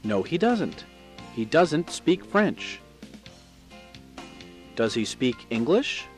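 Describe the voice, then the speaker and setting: A man's voice: a little high, sing-song, takes it slowly, over music. An American man presenting a scripted English drill with questions and instructions.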